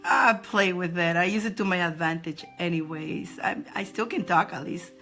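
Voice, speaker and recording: A woman with a squeaky voice hosting a live spiritual advice stream from home, chatting casually and greeting viewers by name. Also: normal speed, a bit bright, faint music behind. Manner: greets viewers by name in high sing-song, laughing often.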